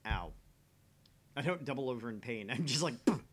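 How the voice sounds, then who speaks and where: Raspy guy on a gaming stream, deadpan jokes, casual chat, kinda bright and forceful. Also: mid-range voice, normal speed. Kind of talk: casual banter and joking anecdotes.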